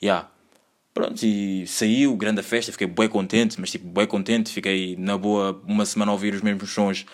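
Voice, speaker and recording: A man's voice: fairly low pitch, level tone, moderate pace. A man in his twenties with a Lisbon Portuguese accent, hosting his own podcast in a loose, rambling way full of slang and filler words. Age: 20s. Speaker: podcast host. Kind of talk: solo casual podcast monologue.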